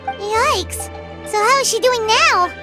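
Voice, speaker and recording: A woman voicing a small, chatty non-human companion creature who talks bluntly and excitably in a video game. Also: very high-pitched, takes it slowly, music in the background, full character voice.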